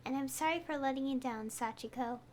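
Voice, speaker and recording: A woman's voice: high voice, fairly quick, background noise. A woman streaming a game, reading its text aloud with dramatic delivery.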